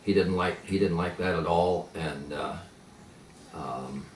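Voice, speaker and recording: An older man who grew up speaking Pennsylvania Dutch, reminiscing slowly with many pauses and fillers. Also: low voice. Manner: halting, many fillers and restarts. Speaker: interviewee. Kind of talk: reminiscing in conversation. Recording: echoey room, mic at a distance, faint noise.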